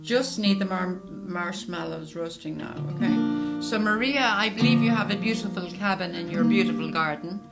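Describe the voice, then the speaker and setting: Brisk woman reading comments over guitar. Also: lowish voice. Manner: brisk, reading out messages, friendly asides. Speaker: co-host reading viewer comments. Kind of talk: casual chat.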